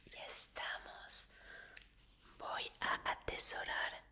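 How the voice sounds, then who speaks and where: An obsessive female fan murmurs to her captive idol, as a woman whispers the part, pleading and apologetic in a slow, unhurried hush. The whisper has a bright, crisp edge. It comes across as a sweetly menacing roleplay. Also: full character voice.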